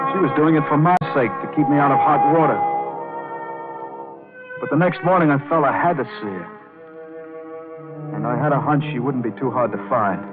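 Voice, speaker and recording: A man's voice: mid-range voice, lightly acted. Actor as brooding young man, tinny old radio. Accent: American. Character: lovestruck, hot-headed young male piano player. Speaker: actor, first-person narrator. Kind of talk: 1940s-50s radio drama acting, noir narration. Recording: tinny old radio, band-limited, organ music behind.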